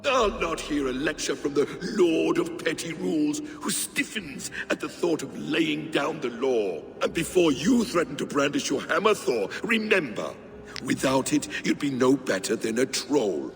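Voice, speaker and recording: A defiant trickster god from a Norse fantasy game. His delivery is sardonic and theatrical, swinging widely in pitch with a gravelly creak. It sits in long reverb. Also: big pitch swings, normal speed. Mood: scornful taunting. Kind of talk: video game cutscene acting. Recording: long reverb, music behind.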